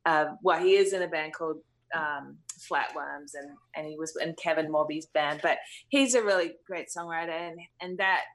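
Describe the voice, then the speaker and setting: A woman's voice: low voice, moderate pace, a bit bright. A woman in her thirties from New Zealand, a musician answering interview questions in a thoughtful, hesitant way with many fillers.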